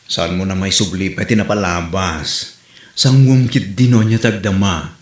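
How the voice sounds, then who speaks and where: A man playing a practical parent giving steady advice in an Ilocano comedy audio drama. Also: low voice, measured pace. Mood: admonishing. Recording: roomy, echoey.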